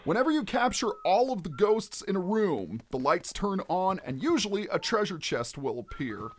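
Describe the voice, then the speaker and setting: A gaming video host giving chatty, expressive let's play commentary and explaining things clearly as they go. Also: mid-range voice, moderate pace, slight background noise. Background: game music.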